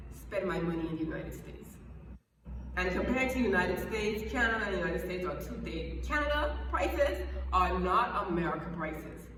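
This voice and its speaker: A woman's voice: medium pitch, a bit bright. A young Bahamian woman giving chatty, emphatic advice in a casual vlog, often repeating herself for emphasis. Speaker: vlogger. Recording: echoey room, far from the mic, background noise.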